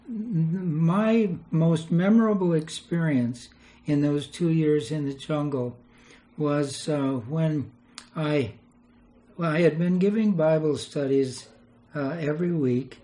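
A man's voice: fairly high pitch, warm tone, low-quality recording. An older man, a retired missionary, telling rambling anecdotes in an interview and chuckling as he goes. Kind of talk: storytelling interview.

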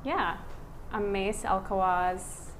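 A woman in her thirties from Iraq, a physician speaking as a podcast guest. She talks in a relaxed, reflective way, with many "um" and "like" fillers. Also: medium pitch, slow, a little hiss or hum. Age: 30s. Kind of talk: casual conversational interview.

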